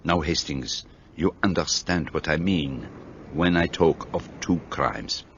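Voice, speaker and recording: A man's voice: a bass voice, slow, a bit bright, full character voice. Man doing a fussy old Belgian detective. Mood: explaining. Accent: Belgian French. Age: older. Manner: precise, theatrical, lecturing. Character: fussy elderly Belgian male detective, self-assured. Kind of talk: radio play acting. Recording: dull lo-fi recording, faint hiss.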